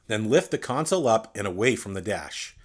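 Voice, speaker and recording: A man reading a scripted step-by-step tutorial, addressing the viewer directly in a clear instructional manner. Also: fairly low pitch, very animated, brisk. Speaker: instructional video narrator.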